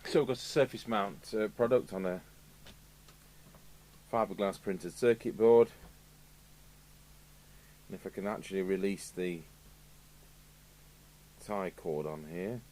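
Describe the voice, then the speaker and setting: Low-voiced English man musing at his workbench. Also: a little slow, pauses often, a little mellow. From United Kingdom. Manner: rambling, musing aloud to himself. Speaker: radio repair technician and video host. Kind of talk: casual workbench commentary. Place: indoor electronics repair workbench. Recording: clear background noise with electrical hum.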